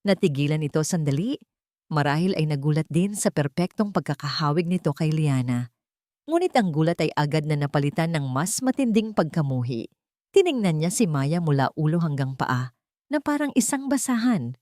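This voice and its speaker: Woman, dramatic story narration, deep for a female voice, soft dark tone. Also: very animated, moderate pace, dry recording, studio quality.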